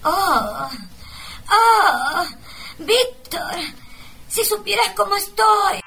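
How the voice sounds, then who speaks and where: An actress playing a swooning, unfaithful wife with exaggerated moaning melodrama in a comic radio play. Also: female voice, very high voice, lively intonation, drawn-out words, gravelly, pushed and bright, faint music behind, full character voice, low-quality recording. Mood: ecstatic, moaning.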